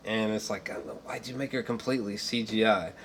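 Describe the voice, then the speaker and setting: A man's voice: fairly low pitch, steady tone, fast talker. A man giving a casual, rambling film review, searching for words and repeating himself, with emphatic, slangy swearing. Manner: emphatic, fannish.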